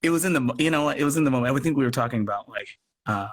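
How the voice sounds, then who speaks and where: A man chatting casually as an interview guest, expressive and thinking aloud as he explains his work. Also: mid-range voice, rapid-fire. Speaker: radio play producer and guest. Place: remote live video chat.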